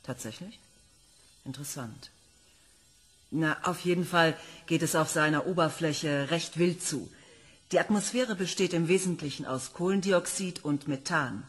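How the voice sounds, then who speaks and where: A woman's voice: low voice, measured pace, husky. An actress playing an enthusiastic woman planetology professor reporting to a commission. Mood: earnest. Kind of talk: radio play acting.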